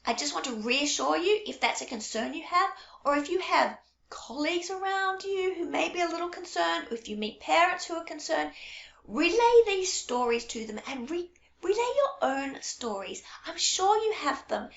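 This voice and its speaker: A middle-aged woman, a French teacher for young children, talking straight to camera in a warm, reassuring storytelling way. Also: very high voice, lively intonation, moderate pace, gravelly. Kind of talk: casual direct-to-camera talk. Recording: echoey room, dull lo-fi sound.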